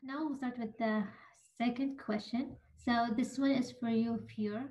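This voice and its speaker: Woman moderator with a higher voice. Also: steady tone, measured pace, a little mellow. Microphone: video call.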